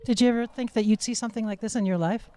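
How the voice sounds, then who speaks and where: A reporter delivering scripted narration in a measured, even way. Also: medium pitch.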